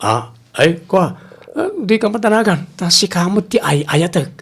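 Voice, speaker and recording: Woman acting a low, lively, evasive Ilocano boyfriend. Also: moderate pace, slightly rough. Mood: defensive. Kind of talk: audio comedy-drama acting.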